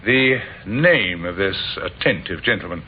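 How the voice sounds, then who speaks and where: An actor playing a courteous, probing British police inspector who muses and hesitates, in an old-time radio crime drama. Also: male voice, low voice, animated. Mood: gentle, persistent. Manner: hesitant, musing, full of uhs and pauses. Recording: tinny, noisy old radio recording.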